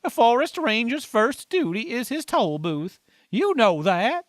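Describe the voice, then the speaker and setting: A woman's voice: on the high side, sing-song, measured pace, dry recording, clean studio sound. A woman playing a dramatic, duty-bound forest ranger guide in a melodramatic radio play. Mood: solemn, regretful.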